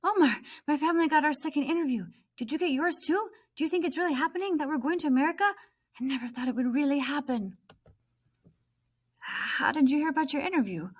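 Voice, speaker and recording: A woman's voice: expressive, fast talker. A woman voicing an earnest, kind refugee girl from a storybook, in an eager, pleading manner. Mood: excited. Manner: eager, pleading, high-voiced.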